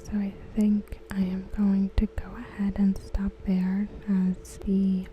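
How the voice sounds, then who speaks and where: A woman reading aloud in a soft, breathy, near-whispered ASMR style, gentle and measured, close to the microphone. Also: medium pitch, completely flat delivery, lo-fi sound, slight background noise. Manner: gentle, hushed, measured delivery. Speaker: ASMR host. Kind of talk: softly spoken ASMR read-aloud of forum comments.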